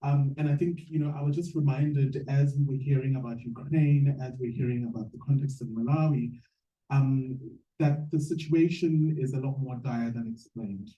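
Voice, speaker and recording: A man with a southern African accent giving a measured, earnest speech as an activist on a panel. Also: medium pitch, steady tone, mellow, dull audio. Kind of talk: prepared public panel speech. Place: echoey room at a panel event. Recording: echoey room, distant mic.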